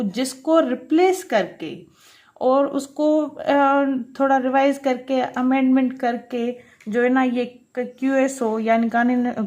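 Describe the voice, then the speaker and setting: Higher-pitched woman lecturer. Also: darkish tone. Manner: repeats and rephrases points, checking in with the listener. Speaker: law lecturer. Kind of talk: explanatory lecture mixing in English terms.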